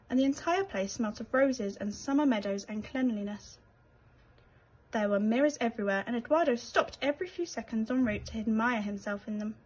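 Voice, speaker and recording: A British woman narrates a children's storybook. Her voice sits a little high with a husky, unpolished edge, and she reads expressively, as a teacher would to young listeners. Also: a little mellow, low-quality recording.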